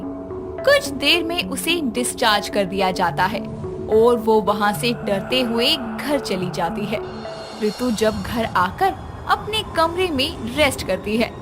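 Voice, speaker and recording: A woman narrating a scripted horror story as a storyteller, with music behind her. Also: fairly high pitch.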